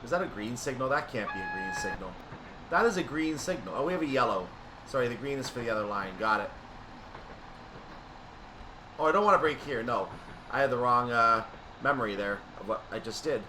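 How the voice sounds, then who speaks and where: A man's voice: medium pitch, animated, a little fast, pauses often, noisy background. A man giving explanatory, methodical gameplay commentary, thinking aloud as he plays a train simulator. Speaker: video game streamer playing a train simulator.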